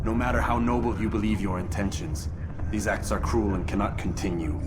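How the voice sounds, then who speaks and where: An actor playing a stern, moralizing young assassin who speaks in a measured, solemn way in a video game. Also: male voice, fairly low pitch, steady tone, natural voice. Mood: stern, quiet. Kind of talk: video game cutscene acting. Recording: heavy background noise under game dialogue.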